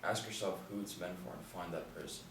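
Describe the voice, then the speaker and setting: A man playing a grave old grandfather in a screenplay table read, speaking tersely and solemnly with quiet command. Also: low-pitched, steady tone, brisk, natural voice. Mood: solemn, firm. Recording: roomy, distant, noisy room recording.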